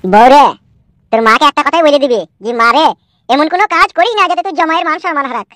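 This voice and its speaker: Someone acting a grumbling, suspicious cartoon husband. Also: female voice, high voice, expressive, fast talker, fairly bright tone, no echo, clean studio sound. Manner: grumbling, sarcastic. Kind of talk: comic cartoon dialogue.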